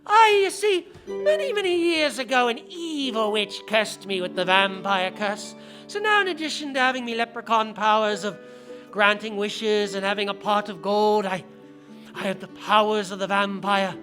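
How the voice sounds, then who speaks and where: A woman's voice: medium pitch, animated, normal speed, fairly bright tone, soft background music, natural voice. A woman voicing a tiny male leprechaun vampire with a lilt, wheedling and a bit boastful.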